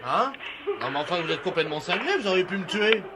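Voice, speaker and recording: A man's voice: high voice, expressive, fast talker, noisy background. A man playing a harried, self-important official on a prank call, speaking in formal phrases with growing exasperation. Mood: outraged.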